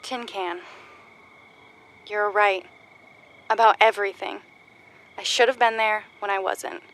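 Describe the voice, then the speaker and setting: A rueful, tender female runner in a cyberpunk audio drama. Her voice is mid-pitched and sincere, halting and confessional, like a recorded apology to a younger sister. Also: steady tone, fairly bright tone. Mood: apologetic.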